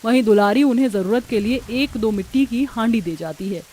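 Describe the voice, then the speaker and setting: A woman's voice: on the high side, fairly quick, warm tone, slightly rough. A woman narrating a scripted cartoon story as a storyteller.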